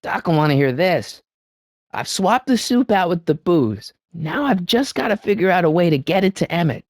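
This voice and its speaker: An actor playing an excitable, wisecracking American teenage boy from the eighties in a video game, with slangy, youthful delivery. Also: sits high, normal speed, dry recording, clean studio sound, exaggerated voice. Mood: determined, wry. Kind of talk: animated video game voice lines.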